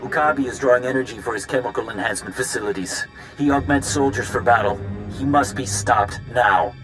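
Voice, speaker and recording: An actor playing a cold, calculating machine intelligence in a video game, speaking in clipped, formal, emotionless phrases. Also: male voice, medium pitch, a little fast, music in the background, lightly acted, low-quality recording. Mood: cold, detached.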